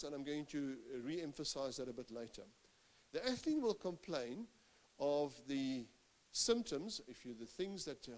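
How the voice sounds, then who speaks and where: Middle-aged male lecturer, handheld mic on a conference stage, animated delivery with frequent restarts. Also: mid-range voice. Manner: animated, gesturing, many restarts and fillers. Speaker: sports medicine lecturer. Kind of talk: lecture to coaches.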